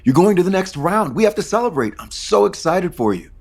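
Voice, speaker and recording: An actor playing a supportive but conflicted man in love in an audio soap opera, gentle, sincere and sometimes halting. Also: high-pitched, big pitch swings, vocal fry, exaggerated voice. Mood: excited, proud.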